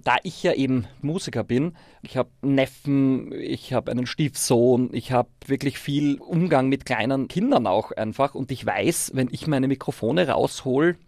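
A man's voice: medium pitch, unhurried, gravelly, a little mellow, dry recording, studio quality. An Austrian man of forty speaking informally and encouragingly, like a teacher explaining things to pupils. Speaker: primary school teacher and musician. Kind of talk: casual explaining and coaching.

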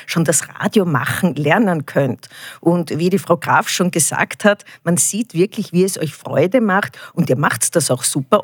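A woman's voice: fairly low pitch, breathy edge, no room sound, studio quality. A middle-aged woman with an Austrian accent answers interview questions as a university rector, speaking encouragingly to children. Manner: encouraging, praising.

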